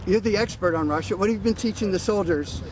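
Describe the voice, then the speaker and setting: A man's voice: high voice, quick pace, background noise. A man interviewing a soldier outdoors, asking questions in a polite, deferential way. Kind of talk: field interview. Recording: crowd chatter in background.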